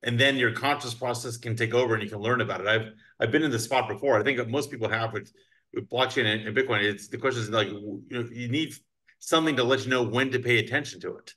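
A man in his thirties hosting an interview over a video call from a bright office, chatty, with many restarts and fillers. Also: mid-range voice, fast talker. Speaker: host, crypto attorney. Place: bright office with large windows. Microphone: video call webcam.

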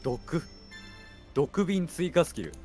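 A man's voice: fairly high pitch, very animated, slow, darkish tone, faint music behind. A man chatting casually in short, clipped reactions while playing a co-op game. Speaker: game streamer. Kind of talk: casual gameplay chat with a co-op partner.

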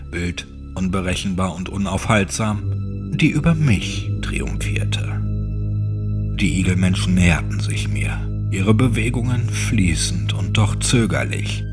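A man narrating a scripted horror story in a measured, solemn and dramatic way, his phrases sometimes sinking toward a hush. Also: on the low side.

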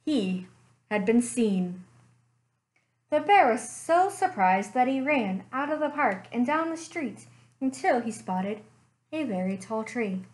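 A young woman, a librarian, reading a picture book aloud slowly and expressively at home into a webcam. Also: fairly high pitch. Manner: slow, expressive storytime reading. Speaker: children's librarian. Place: living room at home.

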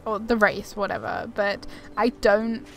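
A woman's voice: mid-range voice, little pitch movement, measured pace, soft background music. A woman giving casual commentary as she plays a video game. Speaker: gamer, video host.

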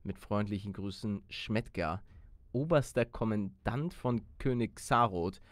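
A man voicing a stern, formal game villager who reads out messages in a measured manner. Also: on the low side, no room sound, pitched below the performer's own voice, close to an everyday voice. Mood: solemn, formal.

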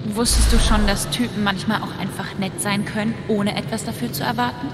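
A woman's voice: medium pitch, steady tone, brisk, lightly acted. A woman playing an exasperated, defensive young girlfriend in a video game, emphatic and indignant. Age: young adult. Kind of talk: dramatic video game acting. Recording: echoey reverberant space, faint noise.

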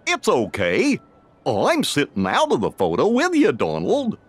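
A man voicing a gentle, friendly cartoon dog with a dopey, hiccupy drawl in a video game. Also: a little high, wildly swooping pitch, measured pace, husky, full character voice. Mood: reassuring. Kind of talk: animated video game acting.

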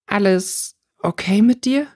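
A man's voice: sits high, takes it slowly, a little mellow, voice pushed up. A man voicing a caring, hesitant young schoolgirl in a storybook reading, speaking carefully. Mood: cautious, concerned.

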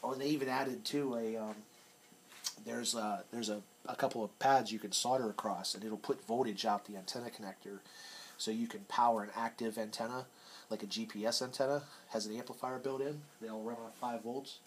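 A man's voice: medium pitch, fairly even intonation, brisk. A man giving a casual, hands-on technical demonstration, explaining informally and sometimes trailing off. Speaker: radio hobbyist demonstrator. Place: cluttered indoor radio workshop. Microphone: handheld camera, off screen. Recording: noisy room, handheld camera recording.

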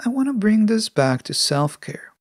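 A podcast host giving a scripted solo talk in a measured, instructive manner. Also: mid-range voice, big pitch swings, no echo, studio quality.

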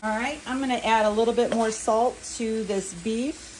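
A woman's voice: on the high side, moderate pace, darkish tone, a little hiss or hum, low-quality recording. An older woman and home cooking vlogger, chatting casually and explaining each step plainly with small fillers in her kitchen.

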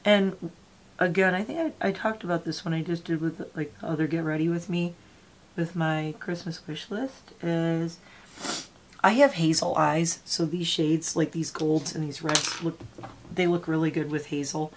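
Makeup vlogger, forties, sniffly, chatty and meandering, a bit of faint room hiss. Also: female voice, low voice, fairly even intonation, normal speed. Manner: chatty, rambling, talks while applying makeup. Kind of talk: casual get-ready-with-me chat. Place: small home room with gaming chair.

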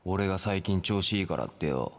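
An actor playing a brash, hot-headed young fighter with rough, blunt street speech in a video game. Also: male voice, low-pitched, brisk, slight background noise. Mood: indignant. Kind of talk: dramatic video game cutscene acting.

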